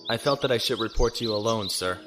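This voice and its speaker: An actor playing a dutiful, cautious space navy lieutenant in an audio drama, formal and deferential. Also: male voice, fairly low pitch, quick pace, a bit bright, soft background music, lightly acted.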